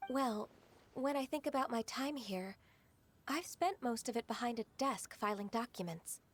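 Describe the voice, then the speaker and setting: An actress playing a gentle, modest young secretary in a video game, speaking politely and formally in measured phrases. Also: female voice, on the high side, expressive. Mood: reflective.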